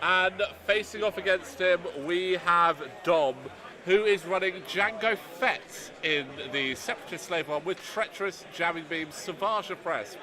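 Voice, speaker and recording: A British man calls a tabletop tournament live, his voice pitched a little high and projected loudly so it carries. He is chatty and quick to poke fun at himself. A lively crowd murmurs clearly behind him. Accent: British English. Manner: loud and chatty, self-deprecating. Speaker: tournament commentator and host. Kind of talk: live game commentary. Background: crowd chatter of a tournament hall.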